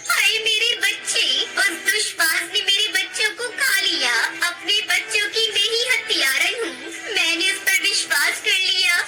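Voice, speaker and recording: A woman's voice: very high voice, a little slow, few pauses, over loud music, rough recording. A woman voicing a naive, fretful little mother bird in a children's cartoon. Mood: grieving. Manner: plaintive, fretful. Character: anxious, trusting mother bird, small and naive. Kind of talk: Hindi cartoon moral story acting.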